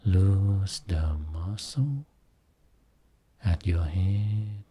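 A man guiding a Buddhist meditation as a teacher, speaking soothingly in short phrases with long pauses between them. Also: low voice, lively intonation, mellow, breathy edge. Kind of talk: guided meditation.

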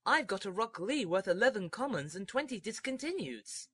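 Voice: medium pitch, very animated, normal speed, sharp tone, husky, no echo, full character voice. A performer with a female-sounding voice playing a geeky, socially awkward young male ninja in a comic anime parody, eager and nerdy.

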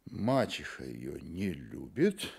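A man's voice: a bass voice, sing-song, slow, gravelly, a little mellow, a little hiss or hum, heavily acted. An actor playing a private detective in a radio drama, wry and offhand as he probes and muses. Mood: wry musing.

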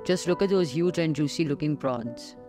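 A middle-aged woman with an Indian English accent reads a travel and food voice-over. Her delivery is measured and descriptive, and she sounds enthusiastic about the dishes. Background music plays under her voice throughout. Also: sits low. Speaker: food vlogger host. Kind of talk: scripted voice-over narration of a restaurant visit. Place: voice-over recorded separately from the restaurant scenes. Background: background music under the narration.

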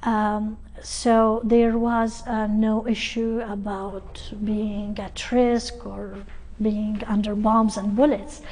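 A middle-aged woman from Lebanon, a guest in a TV studio interview, speaking slowly with many pauses and ums. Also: medium pitch, little pitch movement, darkish tone, poor audio. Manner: slow and halting, many ums. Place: TV studio set.

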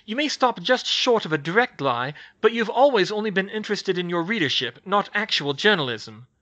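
A man voicing a sharp, clever young woman witch, confrontational with a raised voice. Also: high voice, big pitch swings, normal speed, highs cut off, voice pushed up, heavily acted. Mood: heated.

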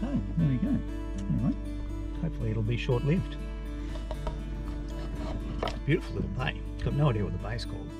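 A man's voice: medium pitch, very animated, fast talker, over loud music. A man in his fifties with an Australian accent, chatting casually to his camera while on a road trip. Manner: easygoing, chatty, self-mocking. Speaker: travel vlogger. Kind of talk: casual travel vlog narration. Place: outdoors on a beach and inside a car. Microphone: action camera held at arm's length.

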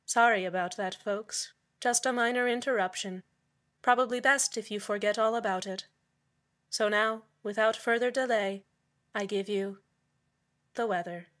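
A woman's voice: mid-range voice, steady tone, moderate pace, a bit bright, natural voice. A woman playing a falsely cheerful, menacing community radio host in a scripted audio drama, soothing, condescending and polite. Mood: calmly taunting. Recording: music bed under the voice.

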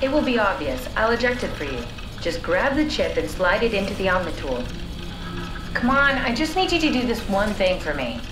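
A woman acting a brisk, matter-of-fact scientist living as a robot in a video game. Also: mid-range voice, sing-song, reverberant, over music, natural voice, rough recording. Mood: businesslike.

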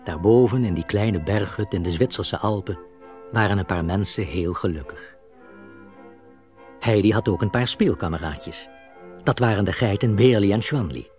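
A man's voice: lowish voice, normal speed, a little mellow, faint music behind. A man narrating a scripted children's story as its storyteller.

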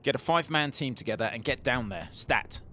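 A man's voice: mid-range voice, a little fast, sharp tone, slight background noise, close to an everyday voice. A man playing a curt, commanding starship bridge officer in a scripted audio drama.

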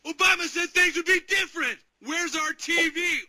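A man voicing a rowdy, drunken middle-aged cartoon dad, loud, belligerent and foul-mouthed. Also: very high voice, steady tone, normal speed. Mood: rowdy exultation. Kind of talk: cartoon soundboard clips played into prank calls. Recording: noisy clipped soundboard clips over phone.

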